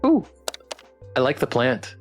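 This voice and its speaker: A game streamer chatting through a live playthrough in a playful, expressive way. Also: mid-range voice, slow, faint music behind. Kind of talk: live visual novel playthrough, reading aloud and chatting.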